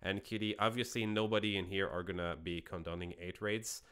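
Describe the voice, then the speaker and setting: A man's voice: low-pitched, fairly even intonation, a little fast, a bit bright, smooth. A man with a French Canadian accent hosting a casual online debate show, rambling with frequent restarts.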